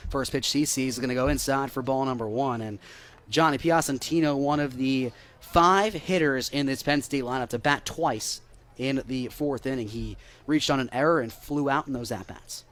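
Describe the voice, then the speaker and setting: An American man doing live baseball play-by-play on the radio, measured between pitches and lifting with excitement on plays. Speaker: play-by-play broadcaster.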